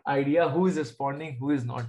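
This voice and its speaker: A man's voice: mid-range voice, brisk, a little mellow. A man in his thirties from Jharkhand with an Indian English accent and Hindi as his first language, teaching math on a video call, explaining step by step and checking in with students often. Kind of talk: online class teaching. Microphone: computer mic on a video call.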